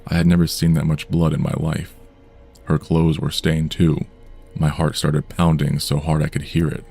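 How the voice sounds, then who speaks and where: A man with an extremely low, dark voice narrates true horror tales in a measured, somber way. His tone is mellow and heavy rather than bright. A faint music bed hums under his reading. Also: little pitch movement, creaky, breathy edge. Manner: measured, somber storytelling delivery.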